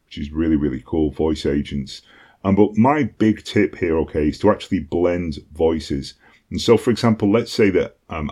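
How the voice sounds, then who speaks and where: Deep-voiced British man explaining casually. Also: a little mellow. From Britain. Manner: conversational, frequent ums and "okay?" check-ins. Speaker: online course instructor.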